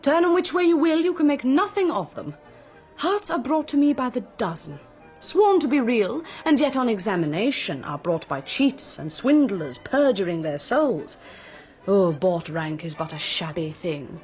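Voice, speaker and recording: A woman playing a frank, sharp-tongued young heiress, arch and articulate, in a period radio drama. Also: a little high, very animated, moderate pace, soft background music. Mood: witty disdain. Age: 20s.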